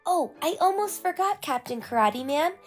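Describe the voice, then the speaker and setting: A woman's voice: extremely high pitch, expressive, moderate pace, faint music behind, pitched above the performer's own voice. A woman voicing an eager, earnest young boy in a children's storybook read-aloud. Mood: excited, earnest. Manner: eager, proud, explaining earnestly. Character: excitable, earnest young boy learning martial arts.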